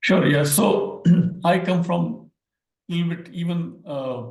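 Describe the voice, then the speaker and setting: A man's voice: fairly high pitch, slow, vocal fry, a little mellow, reverberant, poor audio. A man from India with an Indian accent, a native Hindi speaker, explaining ideas as a scholar guest in an academic discussion and repeating words for emphasis.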